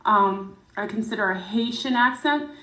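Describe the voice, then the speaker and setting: A woman's voice: medium pitch, normal speed, bright, forceful tone, reverberant, rough recording. A woman whose first language is French, telling a personal story hesitantly, with many fillers and restarts. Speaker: guest in a played clip. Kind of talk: casual storytelling.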